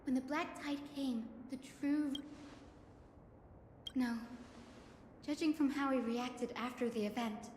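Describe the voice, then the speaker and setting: A woman's voice: high-pitched, slow pace, pauses often, darkish tone, slight background noise. An actress playing an earnest young woman in a dramatic game, thoughtfully piecing together a mystery. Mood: alarmed, pondering. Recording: echoing reverb, faint music.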